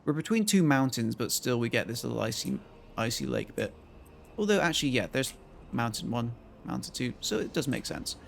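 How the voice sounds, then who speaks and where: A male streamer with a croaky voice, chatting casually to his viewers as he thinks aloud and plans his moves in a strategy game. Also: mid-range voice, very animated, fairly quick, slight background noise. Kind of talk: casual gameplay commentary to chat.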